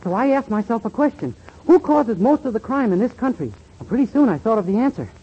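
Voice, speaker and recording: A man's voice: very high voice, big pitch swings, quick pace, breathy edge, heavily acted. A man playing a naive, dim-witted young man, guileless and eager, in 1940s radio comedy style. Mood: eager, proud. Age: young adult. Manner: guileless, earnest delivery of absurdities. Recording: muffled, hissy 1940s radio recording.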